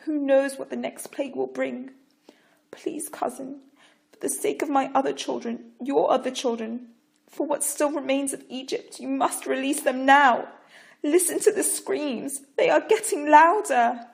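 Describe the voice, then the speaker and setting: A woman's voice: sits high, steady tone, unhurried, vocal fry, voice pushed up. A woman reading a story voices a bold, defiant Egyptian noblewoman who begs a king with urgent passion. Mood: impassioned pleading. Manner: urgent, impassioned pleading.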